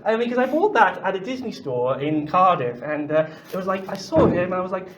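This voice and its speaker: A man's voice: high-pitched, expressive, brisk, background noise. A man chatting as a convention interviewer, hesitant and often stammering over repeated words. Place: busy convention venue. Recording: crowd chatter behind the voice.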